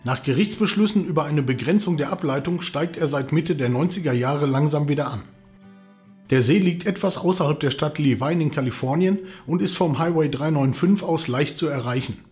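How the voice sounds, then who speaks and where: A man's voice: fairly high pitch, a little fast, a little mellow. A man in his forties hosting a travel channel, presenting scripted narration to camera indoors. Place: indoors, living room. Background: background music.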